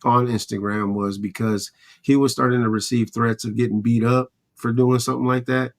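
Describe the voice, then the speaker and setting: A man with a medium-pitched voice hosts a casual sports talk show. He speaks conversationally, recounting events loosely and speculating as he goes. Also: little pitch movement, moderate pace. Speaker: podcast host.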